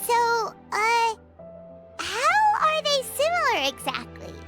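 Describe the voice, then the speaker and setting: A woman voicing a small, chatty, cheerful fairy companion in a video game, with a squeaky childlike, cutesy delivery. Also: wildly swooping pitch, takes it slowly, over loud music, heavily acted. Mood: chirpy. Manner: cutesy, refers to self in third person.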